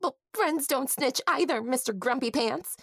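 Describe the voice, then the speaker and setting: A little fairy-tale princess, with a high, very lively voice. She is petulant and childish, pouting her indignation in a close, dry recording. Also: moderate pace, breathy edge, no echo, heavily acted. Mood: pouting, cross. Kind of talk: audio fairy-tale drama acting.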